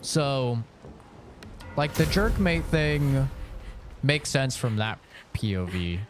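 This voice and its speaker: A man's voice: mid-range voice, animated, slow, background noise. A man in his late twenties chatting casually while he streams a game, rambling frankly with lots of fillers. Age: late 20s. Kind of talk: casual chat while gaming.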